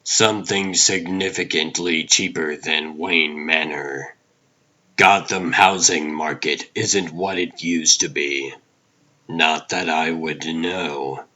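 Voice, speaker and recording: A man playing a brooding, world-weary vigilante detective, narrating his own noir story in a grim, terse, deliberate way for a comic dub. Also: rumbling low, fairly even intonation, bright, forceful tone, highs cut off, exaggerated voice. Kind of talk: dramatic first-person noir scripted narration.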